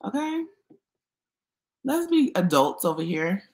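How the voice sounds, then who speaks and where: A woman hosting a casual livestream, chatty and expressive, talking to her viewers as y'all. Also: mid-range voice, slow pace. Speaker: YouTube livestream host.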